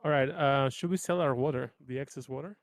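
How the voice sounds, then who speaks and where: A man's voice: mid-range voice, a little fast, no echo. A man chatting casually as he plays a tabletop roleplaying game.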